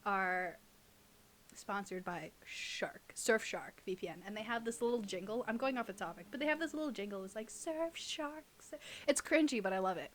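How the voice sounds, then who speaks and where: A woman's voice: medium pitch, lively intonation, moderate pace, a little hiss or hum. A young woman in casual conversation as a podcast co-host, informal and teasing, reading creepy stories aloud. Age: young adult.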